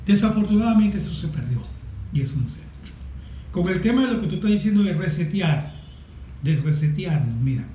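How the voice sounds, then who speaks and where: Un hombre venezolano de más de sesenta años que habla en un panel con énfasis, recalcando y repitiendo palabras. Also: male voice, sits high, expressive, mellow. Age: 60s. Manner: emphatic, deliberate with stressed repetition. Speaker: guest, environmental activist. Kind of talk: panel discussion, impassioned speech. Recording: echoey room with faint background noise.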